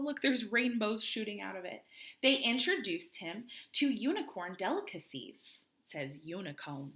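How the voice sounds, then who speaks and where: A woman reading a children's picture book aloud expressively, with dramatic pauses, chatty asides and questions for her young listeners. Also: medium pitch, pushed and bright. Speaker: children's read-aloud host.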